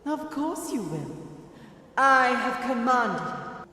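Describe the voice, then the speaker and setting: A woman's voice: high-pitched, big pitch swings, slow, sharp tone, full character voice. An actress voicing an imperious, ageless female divine spirit in a video game, speaking in solemn, grand decrees. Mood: commanding. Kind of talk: video game character dialogue. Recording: echoey game audio heard from far off.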